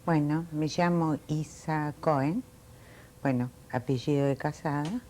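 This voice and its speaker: A woman in her late sixties, born in Italy, telling her life story in an oral history interview, reflective and unhurried with frequent fillers. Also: sits low, darkish tone, a little hiss or hum. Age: 60s.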